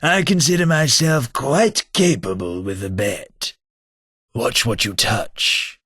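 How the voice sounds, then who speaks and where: An actor voicing a foppish, snobbish aristocratic companion in a game, with a languid, sardonic upper-class drawl. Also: male voice, mid-range voice, slow pace, sharp tone, slightly rough, no room sound, studio quality, heavily acted. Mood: drily snobbish. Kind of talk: game voice acting.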